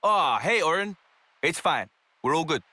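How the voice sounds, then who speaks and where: An actor playing a brash, energetic young fighter in a video game, casual and protective in manner. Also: male voice, fairly high pitch, a little slow, exaggerated voice. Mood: casual, reassuring. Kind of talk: video game cutscene acting.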